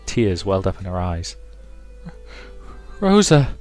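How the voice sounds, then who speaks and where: A man's voice: fairly low pitch, steady tone, a little fast, faint music behind. A man reading a visual novel aloud as dramatic storybook narration for a let's play.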